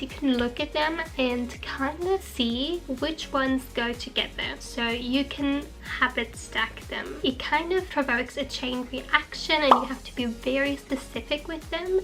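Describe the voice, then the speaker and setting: High-voiced young woman chatting to camera, bit hoarse from a cold, keeps saying basically, soft music behind. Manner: chatty, apologetic, uses lots of fillers like basically. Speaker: vlogger. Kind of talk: casual talk to camera.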